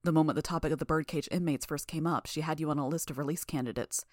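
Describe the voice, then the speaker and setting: A woman's voice: low voice, steady tone, fast, a little mellow, no echo, studio quality. A woman reading an audiobook, voicing an armored adult man who speaks in a clipped, matter-of-fact way.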